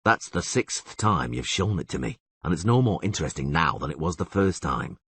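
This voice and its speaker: Audiobook hero: gruff male warrior read by a male narrator, low register, cold, curt, commanding. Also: lively intonation, normal speed, fairly bright tone, slight background noise. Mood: stern, scolding. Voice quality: low, growling. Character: gruff, irritated, stern male monster hunter. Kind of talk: audiobook character acting.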